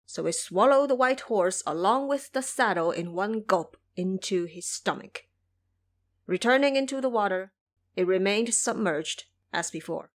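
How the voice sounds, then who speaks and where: A woman narrating a scripted audio drama in an expressive, literary storytelling manner. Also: medium pitch.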